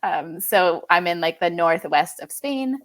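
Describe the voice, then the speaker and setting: A woman in her late twenties from Quebec, a native French speaker, chatting as a podcast guest in a relaxed way with lots of fillers. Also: fairly low pitch, a little slow. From Quebec, Canada. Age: 20s. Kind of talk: casual conversation.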